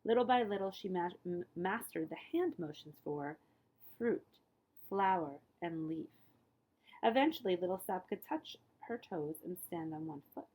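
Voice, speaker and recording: A woman reading a children's story aloud as a librarian, with expressive emphasis and phrases that often fall hushed. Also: fairly low pitch, moderate pace, poor audio.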